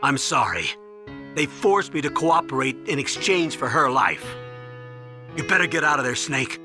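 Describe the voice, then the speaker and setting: An actor playing a loyal, earnest army colonel giving briefings in a video game drama. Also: male voice, medium pitch, animated, normal speed, music in the background, close to an everyday voice. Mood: remorseful, resigned.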